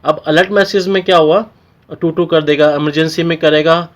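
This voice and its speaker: A man's voice: on the high side, steady tone, moderate pace, highs cut off. A man with an Indian accent giving an informal technical tutorial, explaining step by step and often checking in with short tags. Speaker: technical instructor.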